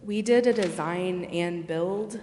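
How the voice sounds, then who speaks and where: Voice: medium pitch, slow pace, dark tone, slight background noise. A city staff member answering questions at a public meeting, hesitant and apologetic, in an echoey meeting hall. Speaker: staff member presenting bids to a city council. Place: council chamber, indoors.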